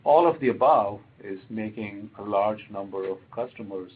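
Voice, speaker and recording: A man with a husky voice speaking as a company executive on a business conference call, listing his points unscripted. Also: lowish voice, normal speed, a little hiss or hum. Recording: muffled, narrow phone conference line.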